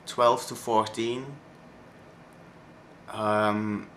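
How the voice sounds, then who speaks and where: A man of twenty-one from Belgium with a Flemish accent, his first language Dutch, speaking haltingly with many pauses in a personal vlog. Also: fairly low pitch, level tone, fairly bright tone, a little hiss or hum. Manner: hesitant, many ums and pauses. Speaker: vlogger.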